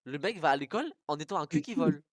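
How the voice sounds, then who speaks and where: A young adult in casual group banter with friends, talking in an excitable, crude, slangy way. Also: medium pitch, very fast, no echo, studio quality. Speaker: participant in a group cartoon tier list.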